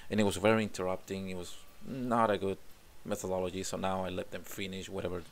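A man's voice: low-pitched, level tone, quick pace, slight background noise. A man in his forties from Chile, speaking English with a Chilean Spanish accent, answers interview questions at home into a desk mic and often repeats words while he searches for them. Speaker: job applicant, English teacher. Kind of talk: self-recorded interview answers. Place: home room with guitars on the wall. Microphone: desk condenser mic in frame.

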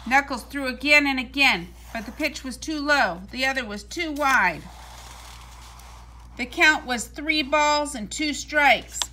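A woman with a fairly high, very bright voice reads a children's story aloud. Her delivery is deliberate and expressive, shaped for storytelling. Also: slight background noise, rough recording.

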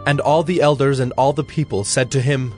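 A man narrating an audio Bible as a scripted, measured storyteller. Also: medium pitch, steady tone, a little mellow. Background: music.

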